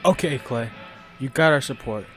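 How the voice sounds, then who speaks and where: A man's voice: mid-range voice, normal speed, a bit bright, faint music behind, natural voice. A man playing a small, wisecracking young man who needles his friends in an audio drama. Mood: earnest. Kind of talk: audio fiction dialogue.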